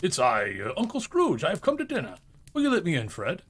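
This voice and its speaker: Old reformed miser for a storybook, played by a man: gruff, emphatic, gleeful underneath, very animated intonation. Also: fairly high pitch, brisk, voice pushed up, heavily acted. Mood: hopeful. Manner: emphatic, growling when feigning sternness. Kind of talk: storybook character voice.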